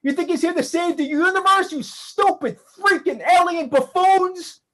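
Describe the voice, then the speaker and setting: A woman's voice: a little high, expressive, unhurried, a little mellow. A woman playing a defiant adult son who rants sarcastically. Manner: ranting, sarcastic, confrontational.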